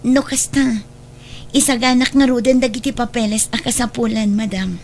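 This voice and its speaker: A woman's voice: a little high, fairly even intonation, normal speed, a little hiss or hum, natural voice. A woman playing a dutiful, deferential female assistant in a radio drama, with an Ilocano accent. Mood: polite, attentive.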